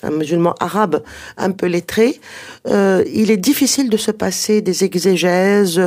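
A native Arabic speaker of medium pitch giving explanations in an interview, hesitant and digressive, with emphatic points. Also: moderate pace, darkish tone. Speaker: interviewed author and researcher on early Islamic texts.

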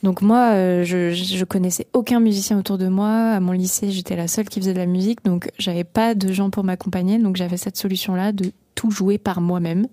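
A woman's voice: medium pitch, fairly even intonation, brisk, hardly any pauses, warm tone, no echo. A young woman from the Paris region, a singer-songwriter answering interview questions, talking hesitantly with many fillers and restarts. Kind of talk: radio interview.

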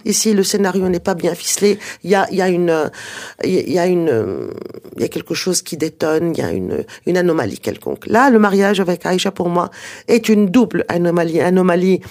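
A native Arabic speaker of medium pitch giving explanations in an interview, hesitant and digressive, with emphatic points. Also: brisk, darkish tone. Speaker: interviewed author and researcher on early Islamic texts.

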